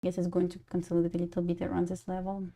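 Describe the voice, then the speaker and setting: Russian-accented woman, mellow voice. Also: lowish voice, rapid-fire, vocal fry. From Belarus. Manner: relaxed, thinking aloud, reading chat. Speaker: day-trading livestream host. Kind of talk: casual live-stream commentary.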